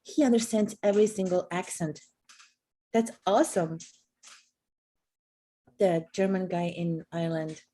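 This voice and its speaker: A woman's voice: lowish voice, fairly even intonation, a little slow, long pauses, mellow. A woman from Poland, chatting casually on a live stream, full of "like" and "okay". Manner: chatty, with many "like" and "okay" fillers.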